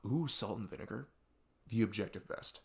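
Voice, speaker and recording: A man playing an earnest male best friend in an audio roleplay, confiding in a casual, rambling, halting way with lots of filler words. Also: fairly low pitch, gravelly. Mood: relaxed, playful. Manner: rambling, halting, filler-heavy, laughs self-consciously. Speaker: ASMR audio roleplay performer. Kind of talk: casual improvised-sounding roleplay monologue addressed to the listener.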